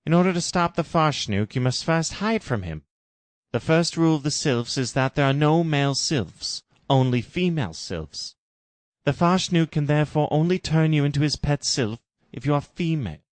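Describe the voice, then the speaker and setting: A man's voice: on the high side, animated, normal speed, no room sound, voice pushed up, lightly acted. A man voicing a grave male adviser who gives dire warnings, earnest and emphatic, in a parody story. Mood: urgent warning.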